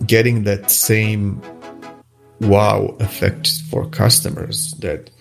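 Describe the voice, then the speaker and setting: A man's voice: on the low side, fairly even intonation, slow pace, gravelly, soft background music. A middle-aged man from Croatia, a native Croatian speaker, answering interview questions in a hesitant, casual way with many restarts. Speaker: guest, watch face designer.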